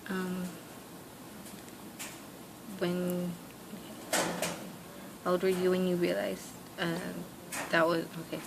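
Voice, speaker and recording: Gravelly young woman, hesitant interviewer. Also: lowish voice, monotone, slow pace, long pauses. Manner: hesitant questions full of fillers and "like". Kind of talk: oral history interview. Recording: noisy recording with room hiss.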